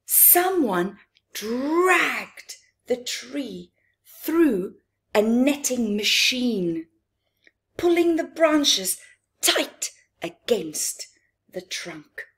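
A middle-aged woman reading a story aloud to young children, expressively, with dramatic pauses and chatty asides. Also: on the high side, very animated, a bit bright, husky. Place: living room, indoors.

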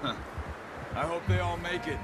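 An actor playing a stoic, watchful Old West outlaw in a video game, speaking tersely. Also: male voice, on the high side, heavy background noise, natural voice. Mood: concerned. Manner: terse, measured, understated. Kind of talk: video game dialogue, conversational.

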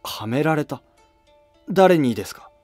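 A man's voice: a little high, expressive, measured pace, darkish tone, no echo, soft background music, voice pushed up. A man playing a young prince of old Japan, polite and troubled. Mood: remorseful. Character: apologetic young Heian prince.

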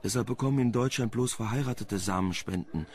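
A man playing a teasing, talkative, sardonic investigator with a gravelly voice in a German crime radio play. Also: medium pitch, fairly quick, darkish tone, close to an everyday voice. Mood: matter-of-fact. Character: flirtatious wry male investigator, teasing and talkative.

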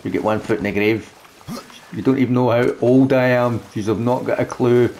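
A man's voice: medium pitch, fairly even intonation, normal speed, darkish tone, a little hiss or hum. Crude joking Glaswegian man. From Scotland. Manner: crude, joking, talks to himself and viewers. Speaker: gameplay streamer. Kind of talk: casual let's-play commentary.

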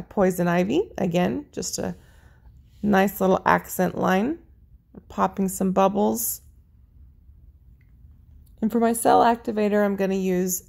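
A woman narrating her paint pouring video in a chatty, friendly way, her voice a little raspy. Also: on the low side, a little mellow. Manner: chatty, friendly, lists colors as she works. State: slightly raspy voice. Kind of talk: casual how-to narration over craft footage.

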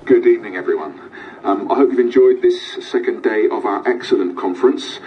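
A man's voice: on the low side, a little fast, highs cut off, close to an everyday voice. A man playing an affable, hesitant conference host making an informal announcement to delegates. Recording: distant mic, faint room noise.

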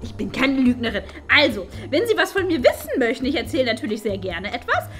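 A woman playing a haughty, scolding elderly teacher in a comedy sketch, pompous, shrill and indignant. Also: very animated, quick pace, a bit bright, soft background music. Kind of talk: improvised comedy sketch acting. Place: small meeting room.

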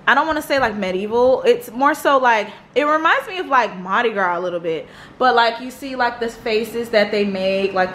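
A young woman giving a casual house tour vlog, chatting in an animated, slangy, gushing way. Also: on the high side. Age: young adult. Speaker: vlogger. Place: indoors, rooms of a house. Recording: echoey rooms with clear background noise.

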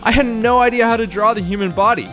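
A man's voice: extremely high pitch, quick pace, fairly bright tone, soft background music. A young man narrating a scripted vlog in a self-deprecating way. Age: young adult. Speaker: host, amateur game maker.

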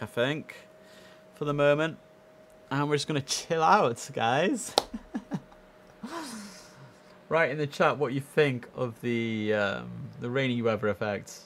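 A man's voice: mid-range voice, slight background noise. A young man chatting casually and easily as he hosts a live gaming stream, speaking into a headset.

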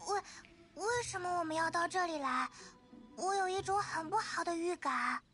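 Squeaky, very high-pitched little fairy. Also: female voice, moderate pace, sharp tone. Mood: nervous. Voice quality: squeaky, childlike. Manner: exclamatory, dramatic. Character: tiny excitable floating fairy companion, childlike and squeaky. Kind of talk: anime game voice acting. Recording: game music behind.

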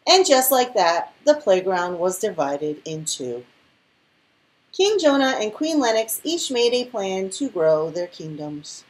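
A woman reading a children's picture book aloud in an expressive storytelling style. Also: medium pitch, takes it slowly. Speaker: storybook reader.

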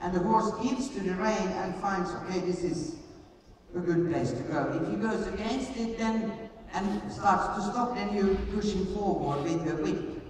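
A woman's voice: mid-range voice, measured pace, darkish tone. A middle-aged woman working as a riding coach, explaining and demonstrating in a hands-on way at a live clinic. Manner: hands-on, explanatory, repeating short commands. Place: large indoor riding arena. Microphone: picked up from far camera in audience. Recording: distant, very echoey arena, background noise.

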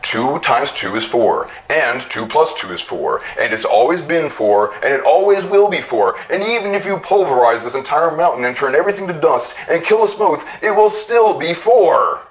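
Man acting an exasperated, high-strung stubborn inventor. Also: lively intonation, normal speed, few pauses, lightly acted. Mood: defiant rage. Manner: exasperated, insistent. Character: exasperated, defiant male inventor, pessimistic and stubborn.

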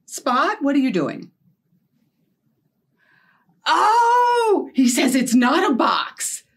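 A middle-aged woman hosting a storytime for young children, talking in an animated way with simple questions and playful surprise. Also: high-pitched, very slow, gravelly. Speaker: children's librarian and storytime host. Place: small indoor set with felt board and book cart.

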